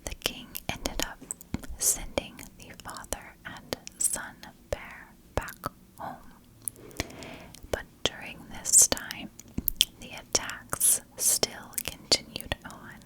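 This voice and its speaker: A woman tells a story in a soft ASMR whisper, with no voiced tone at all. Her delivery is very slow and deliberate, breaking the narration into short, measured phrases. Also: low-quality recording. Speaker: ASMR storyteller, channel host.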